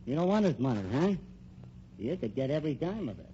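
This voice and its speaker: A man's voice: medium pitch, very animated, fast, mellow, close to an everyday voice. Actor as a slick, swooping tough-guy private eye. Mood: pushy, scheming. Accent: American. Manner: wisecracking, pushy, tough-guy slang. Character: slick, menacing male private detective and con man. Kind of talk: old-time radio crime drama acting. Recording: dull old radio recording, faint noise.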